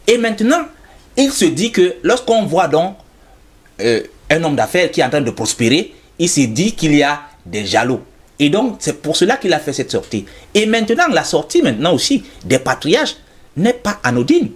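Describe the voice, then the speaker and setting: A man arguing his point on a studio talk show. His pitch sits a little high and swings widely as he presses on, going back over his own phrases to keep the floor. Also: very animated, normal speed. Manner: insistent, repeats himself to hold the floor. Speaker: studio guest commentator. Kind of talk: television debate.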